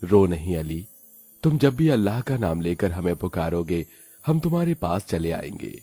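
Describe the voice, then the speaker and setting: A man's voice: deep voice, sing-song, moderate pace, warm tone, slightly rough, dry recording, soft background music, heavily acted. An actor voicing a kind, solemn magical two-headed male goat in a cartoon story. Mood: gentle solemn.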